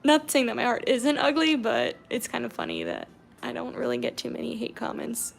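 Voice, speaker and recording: A young American woman from Georgia chatting casually with viewers on a painting livestream, reading their comments aloud and murmuring softly while she paints. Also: a little high, huge pitch swings, fairly quick, creaky, a little hiss or hum. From Georgia, USA.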